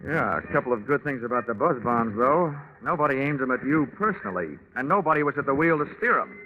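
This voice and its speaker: An actor playing a wry, hard-boiled American investigator in a 1940s radio detective drama, deadpan and wisecracking. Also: male voice, medium pitch, quick pace, a crowd in the background, close to an everyday voice. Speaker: detective narrator. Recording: muffled 1940s radio recording with music.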